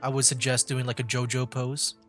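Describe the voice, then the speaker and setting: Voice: medium pitch, flat delivery, brisk. A streamer chatting casually over a game and reading dialogue options aloud, laid-back and conversational. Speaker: streamer, VTuber playing a game. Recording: game music under the voice. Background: game background music.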